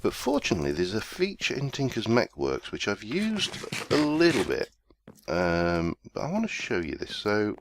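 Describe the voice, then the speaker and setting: A man hosting a casual let's play, talking through his gameplay in a rambling, self-deprecating way while chesty with a summer cold. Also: lowish voice.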